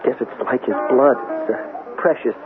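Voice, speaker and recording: An actor playing a weak, desperate young man with a hesitant, stammering, excitable manner in a 1970s radio drama. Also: medium pitch, moderate pace, natural voice. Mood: greedy, excited. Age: young adult. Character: weak, desperate young son needing money. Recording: tinny old radio broadcast with music behind.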